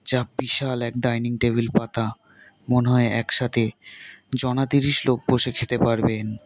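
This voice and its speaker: A man narrating a ghost story in steady storytelling manner. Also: mid-range voice, measured pace, no room sound.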